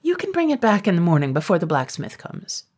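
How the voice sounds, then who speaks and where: A woman voicing a tired, fretful male dragon who is polite and put-upon, with a husky voice. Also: lowish voice, huge pitch swings, fairly quick, a little mellow. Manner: plaintive, fretful.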